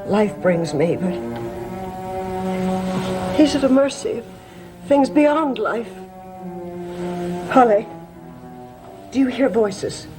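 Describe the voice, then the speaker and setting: Tormented proud bride, higher-pitched voice, fraught, melodramatic, gothic soap opera, music underneath. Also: female voice, animated, takes it slowly, long pauses. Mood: anguished, haunted. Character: haunted, fearful young bride, tormented yet proud. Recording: music behind the dialogue.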